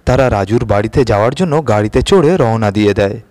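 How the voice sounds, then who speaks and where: A man's voice: lowish voice, quick pace, no echo, clean studio sound. A man narrating a children's moral story as a scripted storybook narrator. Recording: loud music under the voice.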